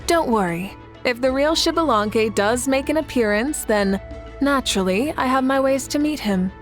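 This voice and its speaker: A woman's voice: high-pitched, animated, normal speed. A woman voicing a confident, playful female leader who speaks with teasing, easy authority. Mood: calm. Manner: self-assured, teasing, warm authority. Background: game music.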